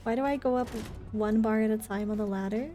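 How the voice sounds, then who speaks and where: A woman's voice: a little high, brisk, warm tone, soft background music. A woman chatting casually with her viewers while livestreaming a video game, in a chatty, giggly manner.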